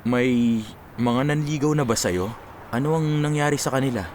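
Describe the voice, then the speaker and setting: A man's voice: mid-range voice, moderate pace, a little mellow, a little hiss or hum, lightly acted. A man acts out a Filipino radio drama leading man in his twenties. He is an earnest, playful, smitten young man with a mid-range voice, heard over background music. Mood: casual, friendly. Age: 20s. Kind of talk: Tagalog radio drama acting. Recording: music behind the dialogue.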